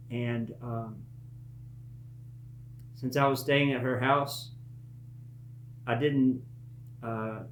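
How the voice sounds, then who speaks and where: A man in his late thirties telling a personal story casually, in a halting, reflective and earnest way. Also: mid-range voice. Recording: echoey room with faint hum.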